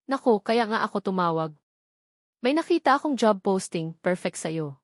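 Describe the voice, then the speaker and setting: A woman's voice: mid-range voice, lively intonation, moderate pace, no echo, natural voice. An upbeat Filipino best friend , voiced by a woman narrator in an audio drama. She is chatty and eager, talking with breezy familiarity. The recording is close and dry. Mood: eager, excited.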